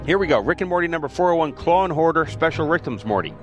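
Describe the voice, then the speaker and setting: A man's voice: fairly high pitch, lively intonation, quick pace. A man hosting a podcast, introducing an episode and interviewing a guest with short questions. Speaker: podcast host. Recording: intro over clear music.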